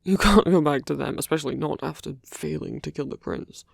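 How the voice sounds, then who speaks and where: A performer playing a suave male villain in a romantic ASMR roleplay, speaking in hushed, deliberate phrases with pauses. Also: mid-range voice, creaky, dry recording, studio quality. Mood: urgent, pleading. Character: suave male villain urging a captured hero to flee.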